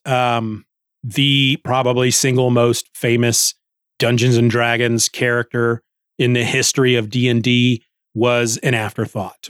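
A man's voice: mid-range voice, no echo. Middle-aged man podcasting, dry close sound. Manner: rambling, conversational, thinking aloud. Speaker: podcast host. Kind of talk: casual solo book review chat.

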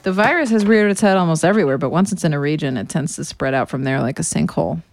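A woman's voice: low-pitched, quick pace, vocal fry, darkish tone, slight background noise. An American woman in her thirties playing a sardonic woman narrating a confessional audio diary, dryly sarcastic and casually profane. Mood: alarmed. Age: 30s.

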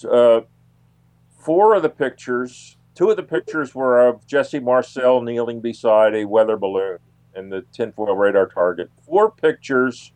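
Halting man, mid-pitched voice. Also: darkish tone, smooth. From United States. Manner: halting, searching for words. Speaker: guest, UFO researcher. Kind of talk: unscripted radio interview answers.

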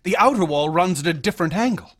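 An actor playing an obsessive, feverish young mathematics student in a radio drama, brooding and intense, sometimes hushed. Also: male voice, sits high, expressive, fast talker. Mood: feverish, obsessed.

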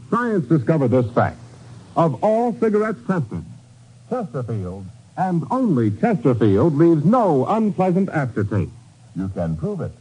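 A man's voice: fairly high pitch, sing-song, a little slow, mellow, breathy edge, poor audio. Emphatic 1950s radio announcer man. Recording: vintage 1950s radio broadcast.

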